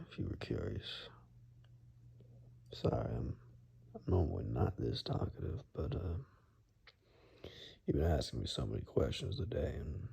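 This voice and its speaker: A man playing a reclusive, kindly but gruff woodsman in an ASMR roleplay, murmuring haltingly and gently to the listener, sometimes in a whisper, with dry teasing. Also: full character voice. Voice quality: very deep, gravelly. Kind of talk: ASMR roleplay, soft intimate monologue to the listener.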